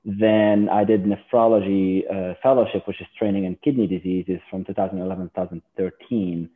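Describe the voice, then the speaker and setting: A man from Lebanon, a physician guest in an interview, talking in a halting way with many fillers and restarts. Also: deep voice. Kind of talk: casual interview. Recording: tinny phone line.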